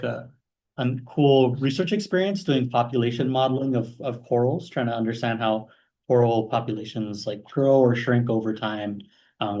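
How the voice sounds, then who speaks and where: A young man from Hawaii giving an informal talk about his career as a guest speaker, relaxed, with many fillers. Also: mid-range voice. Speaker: guest speaker, math postdoc.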